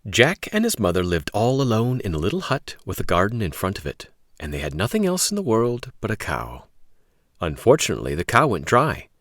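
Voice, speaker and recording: A man hosting a read-aloud, telling a story to young children in an expressive, emphatic way. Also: on the low side, a little fast, dry recording, clean studio sound.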